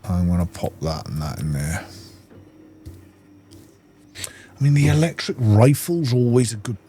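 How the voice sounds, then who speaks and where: A man's voice: on the low side, big pitch swings, measured pace, dark tone, a little hiss or hum. A middle-aged man giving casual gameplay commentary, rambling and thinking aloud. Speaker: gaming YouTuber.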